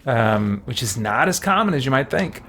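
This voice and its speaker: A man's voice: mid-range voice, sing-song, moderate pace, fairly bright tone. A man giving casual, rambling live commentary as a game streamer. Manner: rambling, conversational. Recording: music behind the voice. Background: game music.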